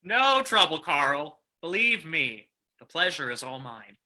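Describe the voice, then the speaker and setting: An actor playing a menacing, smug saloon keeper in an old-time radio drama, with a folksy, mock-friendly taunting manner. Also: male voice, on the high side, big pitch swings, a little slow, bright, forceful tone, exaggerated voice. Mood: falsely friendly.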